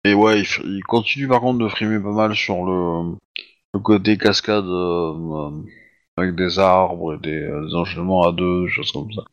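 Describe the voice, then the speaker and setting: A man with a fairly low voice narrating a tabletop roleplaying game as its game master. He speaks casually, dropping plenty of "euh" and some slang. He often stops to restart his sentences. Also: fairly even intonation, normal speed, dull audio. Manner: hesitant, many fillers, casual slang.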